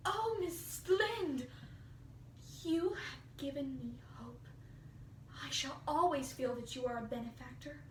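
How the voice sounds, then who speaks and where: Storybook orphan girl woman performing: dramatic, effusive, earnest, gushing; highish voice, set back from the mic. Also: measured pace, pauses often. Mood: elated, gushing. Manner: gushing, melodramatic, pleading. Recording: roomy, distant mic, faint hiss.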